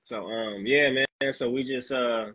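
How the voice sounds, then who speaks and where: A man from Los Angeles, a rapper being interviewed, talking in a laid-back, halting way with lots of fillers. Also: medium pitch, fairly even intonation, bright, forceful tone, dry recording, lo-fi sound. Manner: laid-back, halting, fills with "you know what I'm saying". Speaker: guest rapper. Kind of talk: casual interview chat.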